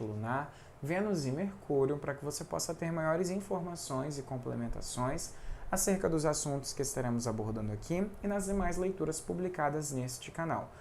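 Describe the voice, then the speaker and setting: A man's voice: medium pitch, gravelly, a little mellow, a little hiss or hum. A man with a Brazilian accent chatting casually as he reads tarot cards, often tacking on little tag questions. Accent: Brazilian Portuguese. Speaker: tarot reader. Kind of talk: casual tarot reading vlog.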